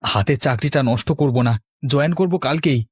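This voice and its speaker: A man's voice: medium pitch, a little fast, a little mellow, husky, dry recording, studio quality, lightly acted. A man voicing an earnest young husband in a village cartoon.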